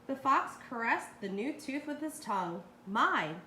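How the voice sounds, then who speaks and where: A woman's voice: high voice, expressive, normal speed, bright, forceful tone. A woman reading a children's story aloud expressively as a storyteller. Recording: roomy, echoey with faint noise.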